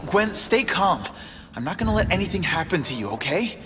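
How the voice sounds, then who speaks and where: A man's voice: high voice, big pitch swings, fairly quick, big-room sound, over music. An actor playing a wisecracking but earnest young male hero in a video game, with casual, quippy delivery. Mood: earnest concern. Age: young adult. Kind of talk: video game cutscene acting.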